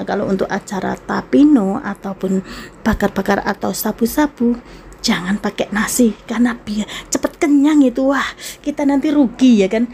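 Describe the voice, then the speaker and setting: A woman's voice: on the high side, darkish tone, slight background noise. A young Indonesian woman vlogging casually about a buffet restaurant, chatty and enthusiastic, talking to her followers. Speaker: food vlogger. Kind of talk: casual food vlog narration. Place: busy indoor buffet restaurant.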